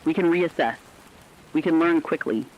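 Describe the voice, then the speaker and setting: A man playing an earnest radio correspondent, delivering a scripted emergency broadcast in a formal, deliberate way. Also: a little high, close to an everyday voice, low-quality recording. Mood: solemn, hopeful. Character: earnest radio correspondent in a world of failing technology. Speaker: broadcast co-anchor.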